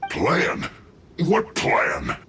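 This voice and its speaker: A man's voice: medium pitch, huge pitch swings, bright, forceful tone, slight background noise, full character voice. An aggrieved, echoey enemy henchman. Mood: pleading. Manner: indignant, halting, drawn-out. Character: aggrieved male enemy henchman, defensive and put-upon. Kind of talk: anime video game voice acting. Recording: roomy echo over game music.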